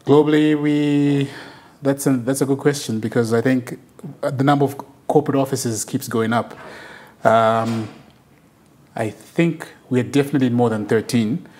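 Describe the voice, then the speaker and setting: A man's voice: mid-range voice, fairly even intonation, normal speed, a little mellow. A man from Zimbabwe, a company's country manager, answering interview questions in a hesitant way with frequent fillers and restarts.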